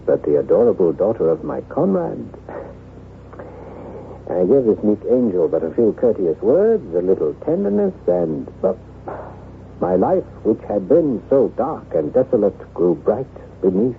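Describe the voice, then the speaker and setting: An actor playing a lonely, self-loathing aristocratic former soldier in theatrical, formal, halting radio drama style. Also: male voice, mid-range voice, big pitch swings, creaky. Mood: warm, animated. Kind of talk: old-time radio drama acting. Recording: tinny band-limited vintage radio, hissy.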